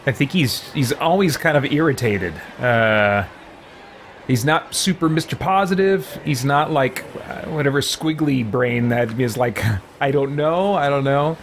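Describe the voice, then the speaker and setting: A man's voice: medium pitch, expressive, unhurried, slight background noise. A man hosting a let's play, giving casual, hesitant commentary as he thinks aloud. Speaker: gaming channel host.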